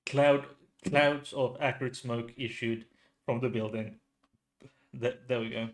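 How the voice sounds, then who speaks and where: A man with a Finnish accent, host of a true crime channel, talking casually with lots of restarts and pauses. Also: mid-range voice, fairly even intonation. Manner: hesitant, many restarts and fillers. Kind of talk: casual solo commentary.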